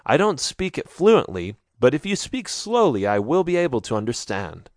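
A man's voice: mid-range voice, big pitch swings, moderate pace, no room sound, clean studio sound. Man reading a polite young archaeologist. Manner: plain, polite, a bit hesitant.

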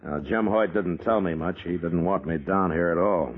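An actor playing a stern, steady U.S. marshal in a 1950s radio western, terse and deliberate. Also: male voice, sits low, slight background noise, lightly acted. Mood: dry, probing. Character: stern, steady U.S. marshal in the Old West, middle-aged man. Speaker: lead actor and narrator. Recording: muffled vintage radio recording with music.

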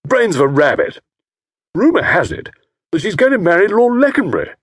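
A man reading aloud, voicing a loud, jovial middle-aged man who booms and laughs. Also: wildly swooping pitch, moderate pace, breathy edge, no room sound, clean studio sound, full character voice. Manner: booming, laughing, hearty.

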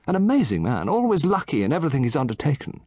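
Brief: genteel old gent, high, bouncy and gossipy, husky edge , read by a man. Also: wildly swooping pitch, rapid-fire, darkish tone, no echo, studio quality, exaggerated voice. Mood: chatty. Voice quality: genteel, chatty, lightly amused. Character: gossipy elderly English gentleman, genteel, chatty. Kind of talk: audiobook character voice.

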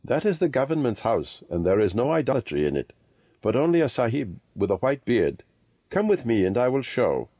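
A man's voice: medium pitch, sing-song, a little fast, dark tone, breathy edge, dry recording, lo-fi sound. A man voicing a cheeky, confident Indian street boy in a storybook reading. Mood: bossy.

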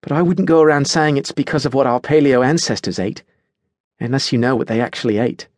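A man voicing a bright, oblivious young woman in an audiobook story, chatty, precise and matter-of-fact. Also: mid-range voice, brisk, no echo, clean studio sound, pitched above the performer's own voice, lightly acted. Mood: earnest, mild. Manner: cheerful, precise, lecturing, unruffled.

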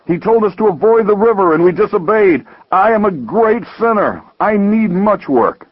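A man's voice: mid-range voice, expressive, brisk, husky, no echo, dull audio, voice pushed up. A man voicing a proud, self-righteous younger brother in a dramatized parable, with an emphatic, declaiming manner. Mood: indignant, self-reproaching.

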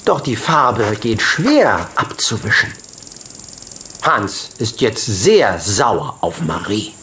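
A man narrating a children's audiobook expressively, in short measured phrases. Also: on the low side, very animated, sharp tone, slight background noise. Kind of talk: scripted children's story narration.

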